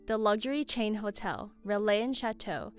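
A woman reading a scripted explainer as narrator, in a measured way. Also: mid-range voice. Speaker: narrator and presenter. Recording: music bed under voice. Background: music.

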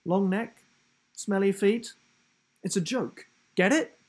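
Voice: mid-range voice, takes it slowly, voice pushed up. A storyteller voicing a goofy, joking male giraffe who teases cheerfully in a storybook reading. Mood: playful. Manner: cheerful, teasing, playful. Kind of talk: storybook character voice.

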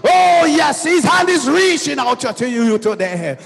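A man preaches in a slow, shouted, half-sung cadence. His voice sits unusually high and carries a gravelly fry at its edges. It rings out in a long hall reverb over faint music. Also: big pitch swings. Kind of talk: fervent shouted sermon.

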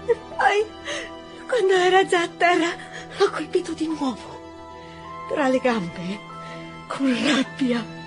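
Tearful young girl, radio drama, very high voice, slow halting delivery, wronged and distraught. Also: over music. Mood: anguished. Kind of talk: Italian radio drama acting.

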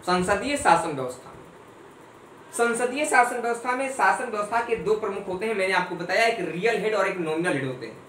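A man's voice: high voice, animated, a little fast, fairly bright tone, slight background noise. Young guy teaching at a whiteboard, keeps repeating stuff and asking the class questions, echoey room, sounds a bit far from the mic. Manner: repeats key points and asks the class rhetorical questions; calls viewers dear friends. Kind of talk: whiteboard exam-prep lesson. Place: small room in front of a whiteboard. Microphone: camera mic a few steps away.

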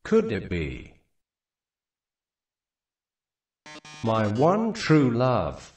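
A man's voice: medium pitch, huge pitch swings, slow pace, voice pushed down. A man voicing a melodramatic, lovelorn robot performer in a mock opera from a video game, overwrought and declaiming. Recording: echoey, distant, loud game music. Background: video game music.

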